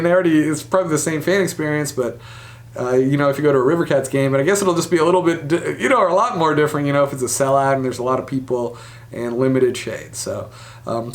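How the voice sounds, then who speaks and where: A man hosts a sports news show in a slightly higher-pitched voice. His commentary is casual and conversational. He often speculates aloud and adds hedging asides. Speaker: sports podcast host.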